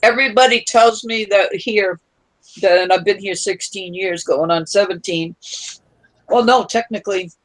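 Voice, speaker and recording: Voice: medium pitch, normal speed, a bit bright, poor audio. A speaker with a New York accent, chatting casually on a livestream. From Long Island, New York. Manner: chatty, asks questions. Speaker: stream guest. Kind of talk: casual chat.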